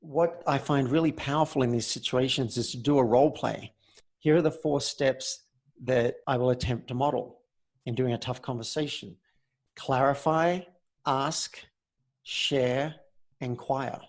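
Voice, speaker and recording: A man with a mid-pitched voice hosts a coaching podcast. He talks conversationally and explains things in a measured, orderly manner. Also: steady tone. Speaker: podcast host and communication coach. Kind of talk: conversational coaching and show introduction.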